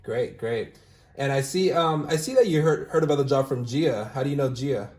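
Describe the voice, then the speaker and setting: A man's voice: medium pitch, expressive, normal speed, darkish tone. A young man recruiting for a sales team, walking viewers through callbacks in a casual, upbeat way full of "all right?" and fillers. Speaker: recruiting manager demonstrating callbacks. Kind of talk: casual screen-share walkthrough, then phone interview. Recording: roomy echo.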